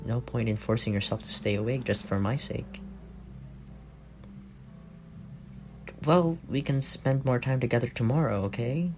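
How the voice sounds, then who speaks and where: A man's voice: mid-range voice, creaky. A man playing an affectionate, teasing young roommate in an intimate ASMR roleplay, speaking in a playful, tender way. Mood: soft, caring.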